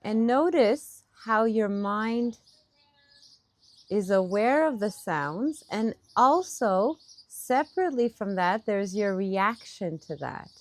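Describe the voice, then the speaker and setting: A woman leading a guided meditation as a teacher, with gentle, pausing phrasing, speaking outdoors. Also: medium pitch, lively intonation. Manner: unhurried, gentle phrasing with pauses. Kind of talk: guided meditation, softly instructive and partly improvised. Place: outdoors in a park. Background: birdsong.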